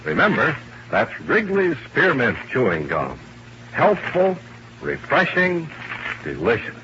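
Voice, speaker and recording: Animated male vintage radio announcer. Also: mid-range voice, takes it slowly. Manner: polished, salesmanlike, emphatic. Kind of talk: 1950s American radio commercial announcing. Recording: dull, noisy vintage radio recording.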